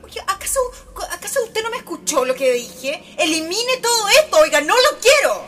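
A woman's voice: very high-pitched, sing-song, moderate pace, bright, forceful tone, heavily acted. An actress playing a distraught, indignant woman in a radio drama, with a Chilean accent and a breathless, stammering delivery. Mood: frantic, demanding. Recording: background music under voice.

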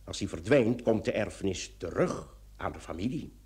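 A man's voice: low voice, expressive, moderate pace, a little mellow. A man playing a methodical, self-assured reasoner on a murder case in an old Dutch radio play, speaking emphatically. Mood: emphatic, reasoning.

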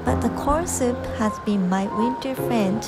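A woman's voice: fairly high pitch, unhurried. A young woman from Japan with a Japanese accent, a native Japanese speaker, narrating a travel vlog from a script. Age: young adult. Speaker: vlog host. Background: background music.

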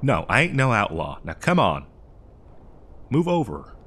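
A man's voice: fairly low pitch, huge pitch swings, unhurried, a bit bright, breathy edge, heavily acted. Guy doing a folksy old west drifter, exasperated n rambly, voice swings up and down, comedy audio roleplay. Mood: coaxing, defensive. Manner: folksy, rambling, hesitant improvised delivery. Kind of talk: improvised comedic audio roleplay addressed to the listener.

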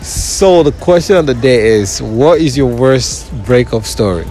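A man from Nigeria hosting a casual street interview in a store, asking drawn-out questions and laughing easily. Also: mid-range voice, animated, dark tone. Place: indoor clothing store and outside a store. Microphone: handheld phone held toward guests. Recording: background music under voices.

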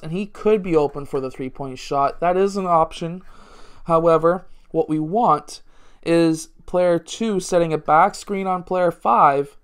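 A man's voice: a little high, clean tone. A man, a basketball coach talking through plays step by step in a casual instructional way. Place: small indoor room at home.

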